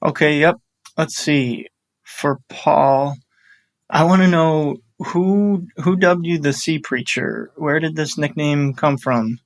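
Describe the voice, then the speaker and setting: A man's voice: a little high, slow, no echo. A man in his thirties who works in audio production, answering thoughtfully in casual chat, rambling a little with restarts. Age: 30s.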